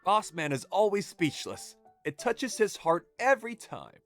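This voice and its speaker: Male actor as excitable young sidekick henchman, smug n playful, swoopy pitch, game story w music. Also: unhurried, full character voice. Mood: playfully smug. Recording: music behind.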